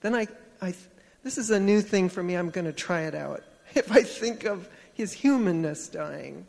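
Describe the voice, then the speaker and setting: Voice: mid-range voice, animated, moderate pace, vocal fry, a little mellow, in a large hall. A person thinking aloud in a church group discussion, thoughtful and searching.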